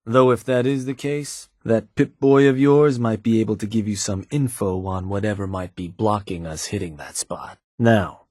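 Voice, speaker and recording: An actor playing a calm, seasoned male raider advisor who talks in an easygoing, folksy drawl. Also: lowish voice, no room sound, natural voice. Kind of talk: game voice acting. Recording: dry studio recording.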